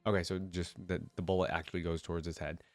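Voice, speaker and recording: A man with a fairly low voice, stuffed up from a cold, hosts a casual game reaction. He thinks aloud in loose, slangy language. The sound is very dry, with hardly any room on it. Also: flat delivery, fast, no room sound. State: congested from a cold. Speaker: video game reaction host. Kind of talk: casual off-the-cuff reaction commentary.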